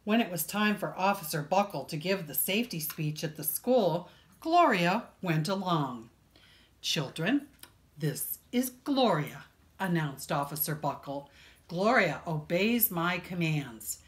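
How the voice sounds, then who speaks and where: Woman, children's storyteller, slightly low pitch, very unhurried delivery, expressive read-aloud, deliberate dramatic emphasis. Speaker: children's librarian reading a picture book.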